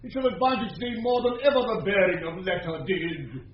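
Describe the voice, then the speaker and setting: An actor playing a wronged prisoner locked in darkness, pleading plaintively and formally in radio Shakespeare. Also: male voice, high voice, expressive, fairly quick. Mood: urgent pleading. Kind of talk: 1970s BBC radio Shakespeare acting. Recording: echoing cell, muffled old radio recording.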